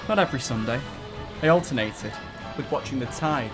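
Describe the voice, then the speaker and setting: A man's voice: medium pitch, animated, fairly quick, natural voice. A man acting in an audio drama as a nervous, wry character with a dry, anxious delivery. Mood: awed, wry. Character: nervous, wry man dreading a fairground ride. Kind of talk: audio drama dialogue and first-person narration. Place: recording studio. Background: music.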